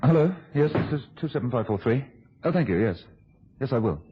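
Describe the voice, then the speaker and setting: An actor playing a scheming, controlling husband in a radio thriller, instructing with quiet urgency. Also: male voice, medium pitch, expressive, brisk, background noise, close to an everyday voice. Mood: feigned casual. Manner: urgent, instructing, hushed asides to an accomplice. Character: scheming husband, controlling, quick-thinking, coolly plotting a deception. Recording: muffled, dull old radio drama recording.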